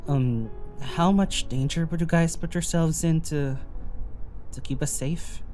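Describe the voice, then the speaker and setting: An actress playing an evasive, low-key mystic traveler in a naturalistic audio drama, hesitant and understated. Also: female voice, unhurried, dark tone, faint music behind.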